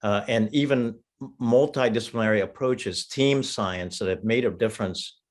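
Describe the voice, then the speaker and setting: A man from Vietnam giving a measured, explanatory webinar presentation as a council member, stressing his key points. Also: lowish voice.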